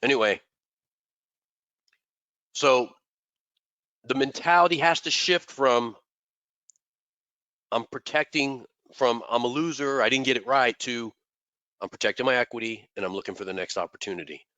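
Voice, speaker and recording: A man's voice: mid-range voice, moderate pace, pauses often, bright, forceful tone, dry recording, dull audio. A man teaching trading in a loose live session, congested and rambling, often repeating words. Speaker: trading mentor. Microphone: desk microphone.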